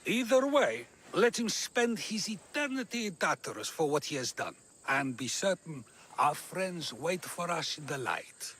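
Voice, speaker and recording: An actor playing a wise, wry old philosopher in a video game cutscene, talking in a musing, questioning way. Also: male voice, a little high, very animated, measured pace, husky, heavily acted. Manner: musing, questioning, gently teasing. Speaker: game character.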